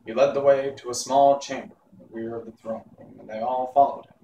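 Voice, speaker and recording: A man's voice: medium pitch, fairly even intonation, fairly quick, warm tone, highs cut off. A man narrating a children's novel aloud as an amateur storybook reader. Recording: roomy echo, distant mic, faint noise.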